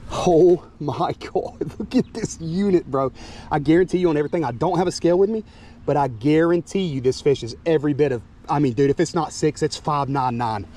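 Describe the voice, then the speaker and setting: A young man vlogging while fishing outdoors by the water, excitable and quick to laugh. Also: fairly high pitch. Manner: excitable, shouting and laughing at catches.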